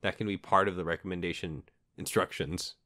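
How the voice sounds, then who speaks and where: A man's voice: low voice, steady tone, fairly quick, pushed and bright. A man hosting a podcast in a casual, informal interview style, rambling as he puts his questions and comments. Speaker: podcast host.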